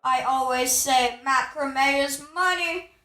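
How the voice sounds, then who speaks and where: A woman's voice: high-pitched, slow pace, bright, forceful tone, heavily acted. A woman playing a fussy, gushing, chatty investigator with an affected manner in a comic radio play. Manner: affected, effusive. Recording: echoey room.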